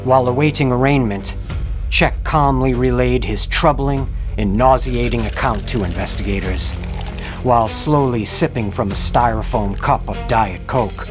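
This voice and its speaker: Horror radio drama: male actor as ominous true-crime narrator, formal, measured, grim, music bed beneath. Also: medium pitch, lightly acted.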